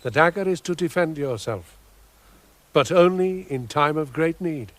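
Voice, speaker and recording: A man's voice: on the high side, expressive, a little slow. A man playing a grand, benevolent old Father Christmas in a radio drama, with a British accent and a solemn, declamatory manner. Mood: solemn kindness. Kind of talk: dramatic radio play acting.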